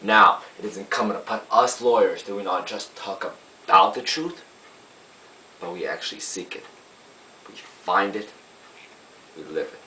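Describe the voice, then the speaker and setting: A man playing a young, earnest defense lawyer, pleading to a jury in an emphatic, oratorical monologue with deliberate pauses. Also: fairly low pitch, pushed and bright. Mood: impassioned. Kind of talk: dramatic courtroom monologue. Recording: roomy, distant mic, faint hiss.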